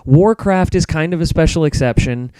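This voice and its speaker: A man's voice: a little high, normal speed, dark tone, clear, resonant tone, no echo. An American man giving opinionated, explanatory takes as a guest film reviewer in a casual podcast discussion.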